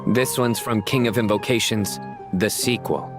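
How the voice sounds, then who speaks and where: A man's voice: fairly low pitch, normal speed, music in the background, close to an everyday voice. An actor playing a theatrical, earnest male speechmaker in a video game, with a dramatic, declamatory manner. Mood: matter-of-fact. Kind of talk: video game character dialogue.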